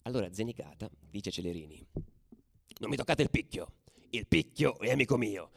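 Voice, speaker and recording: Actor as a volatile football ultra, animated delivery. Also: male voice, fairly low pitch, a little fast, vocal fry, a little hiss or hum. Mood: agitated. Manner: colloquial, foul-mouthed, volatile, swinging from hushed to shouted. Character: fiery male football ultra recounting street clashes. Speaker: actor narrating a radio theatre monologue. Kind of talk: dramatic first-person storytelling, radio theatre.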